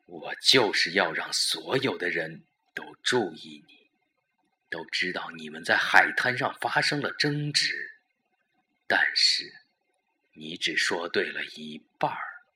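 Man playing a smug low-voiced schemer. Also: animated, takes it slowly, sharp tone, exaggerated voice. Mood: insistent. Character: smug scheming man plotting a murder, coaxing his lover. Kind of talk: suspense audio drama dialogue.

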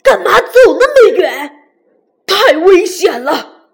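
A woman voicing a big, gruff male T-rex who acts tough but cares for a little one, in a storybook reading. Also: high voice, animated, slow. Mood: angry. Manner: gruff, blustering, softening. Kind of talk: storybook read-aloud.

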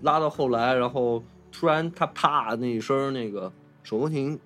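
A man with a mid-range voice chats as a podcast co-host. He recounts things haltingly in short, broken phrases, with faint music playing behind him. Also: mid-range voice, fairly bright tone. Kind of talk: casual film discussion.

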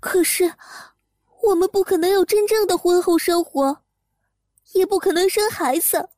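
A woman's voice: very high voice, moderate pace, a little mellow, reverberant. A woman playing a timid, haunted young bride, hesitant and introspective, narrating an audio drama. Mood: apologetic, hesitant. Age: young adult. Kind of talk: Mandarin audio drama acting with first-person narration.

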